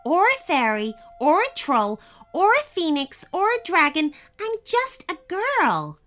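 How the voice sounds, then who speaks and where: A woman's voice: very high voice, wildly swooping pitch, measured pace, a bit bright, pitched above the performer's own voice, exaggerated voice. A woman voicing a plucky, earnest young girl in a storybook, speaking frankly and emphatically but politely. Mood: emphatic.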